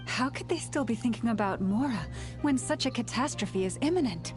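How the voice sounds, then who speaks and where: A woman's voice: fairly high pitch, expressive, fairly quick, music in the background, natural voice. An actress playing an earnest, troubled woman in a video game, with formal phrasing. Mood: worried. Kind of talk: video game dialogue acting.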